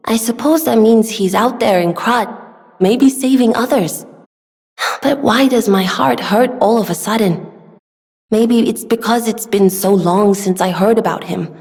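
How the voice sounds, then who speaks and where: A young woman voicing a gentle, earnest, polite weapons smith in a video game, soft-spoken and given to sighs. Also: mid-range voice, unhurried, natural voice. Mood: sad, resigned. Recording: long hall reverb.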